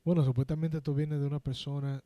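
Man, Dominican accent, mellow relaxed tone, dry close sound, casual slangy joking podcast talk. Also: on the high side, fast talker, dry recording. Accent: Dominican Spanish. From Dominican Republic. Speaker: podcast co-host. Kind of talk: casual chat.

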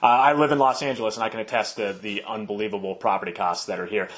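A man's voice: on the low side, steady tone, quick pace, a bit bright. A man hosting a podcast interview, asking long, winding questions in a casual, conversational way. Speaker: podcast host. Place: Los Angeles.

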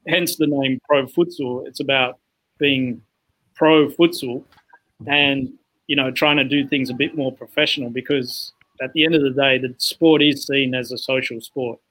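Bright clear guy, zambia-born england-raised, explaining stuff, lots of "you know". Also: mid-range voice, steady tone, normal speed, rough recording. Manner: earnest, explains with many "you know" fillers. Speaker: guest, founder of a futsal organisation. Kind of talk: podcast interview conversation.

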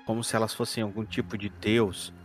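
A man playing an earnest, uneasy detective in a horror roleplaying game, with formal, deliberate phrasing. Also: on the low side, little pitch movement, faint music behind, close to an everyday voice. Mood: hesitant, curious.

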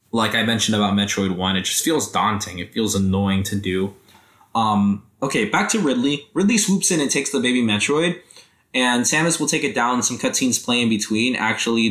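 A man's voice: fairly low pitch, fairly bright tone. Hesitant young man, echoey room. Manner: rambling, hesitant, many fillers. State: sore throat, voice tiring. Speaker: podcast host. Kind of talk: casual solo podcast review.

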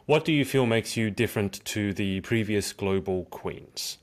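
A man's voice: on the low side, measured pace. A man hosting a casual interview podcast, asking questions and backing up his guest with short "yeah"s. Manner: supportive, frequent short backchannels.

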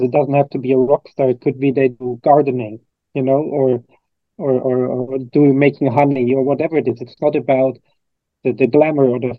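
A man's voice: medium pitch, no room sound, lo-fi sound. Man, reflective interview answers. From Germany. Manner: thoughtful, rambling with frequent restarts and fillers. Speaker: coach and trainer.

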